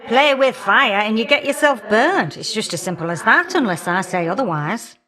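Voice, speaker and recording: A woman's voice: a little high, expressive, moderate pace, pushed and bright. A woman playing an irritable, motherly old goddess in a video game, scolding and teasing in a folksy way with old-fashioned endearments. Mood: calming down, wry. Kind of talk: video game character dialogue.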